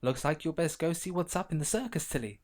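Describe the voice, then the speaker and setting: A woman voicing an expressive guide who speaks directly to a child in an animated story. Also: sits low, fast talker. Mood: curious. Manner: expressive, addressing the listener directly. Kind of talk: scripted children's animation narration.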